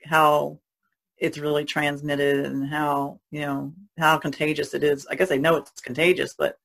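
A woman's voice: low voice, flat delivery, normal speed, gravelly, fairly bright tone. A woman speaking casually as a podcast panelist.

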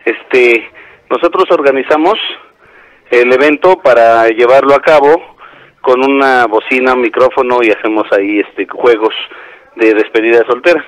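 A middle-aged Mexican man handles a booking over the phone. He is polite and businesslike, with a mid-pitched voice and a dry sound. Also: normal speed, no room sound, poor audio. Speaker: party clown taking a booking call. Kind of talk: phone conversation.

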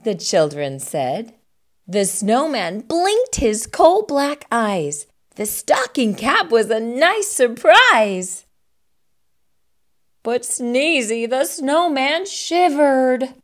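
High-voiced, animated woman reading a storybook. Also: extremely slow. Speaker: storytime host. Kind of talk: expressive children's storybook read-aloud.

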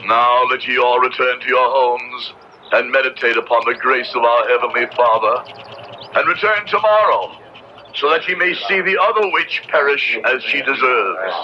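An actor playing a fanatical, merciless Puritan judge in an old radio drama, declaiming in pompous, archaic preacher's speech. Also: male voice, mid-range voice, lively intonation, moderate pace, natural voice. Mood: stern, cold. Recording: noisy, dull old radio drama recording.

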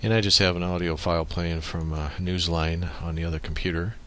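A man giving a step-by-step software tutorial as screencast narration, speaking into a USB microphone. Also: low voice, fairly even intonation, a little fast, a little hiss or hum.

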